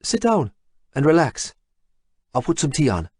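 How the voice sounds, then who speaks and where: A man's voice: fairly high pitch, wildly swooping pitch, a little slow, darkish tone, slightly rough, dry recording, voice pushed up, heavily acted. A male narrator voicing an awkward, bashful young man who stammers and fumbles eagerly. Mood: flustered eager. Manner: flustered, stammering.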